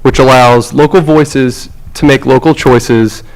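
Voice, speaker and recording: A man with a self-described loud voice, giving formal remarks as a visiting league representative in a council chamber. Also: medium pitch, fairly even intonation, measured pace, poor audio. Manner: loud, outgoing. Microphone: podium microphone.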